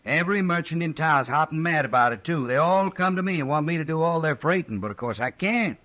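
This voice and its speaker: A man's voice: on the high side, expressive, fairly quick, a bit bright. A man playing an honest, stubborn mule driver with a rural American accent, plain-spoken, in an old-time radio western. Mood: indignant. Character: honest, stubborn mule-driving freight hauler.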